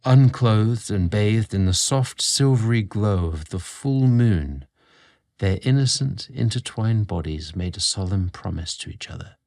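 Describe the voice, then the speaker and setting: A man narrating a scripted romantic story in a measured, expressive storybook manner. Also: fairly low pitch, creaky.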